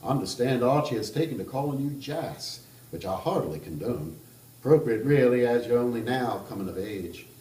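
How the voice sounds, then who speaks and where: A man voicing a jovial, decadent Kentucky gentleman in a story reading, with a Kentucky accent and a languid, teasing drawl. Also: mid-range voice, expressive, fairly quick, natural voice. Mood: indulgent. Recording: roomy sound, distant mic.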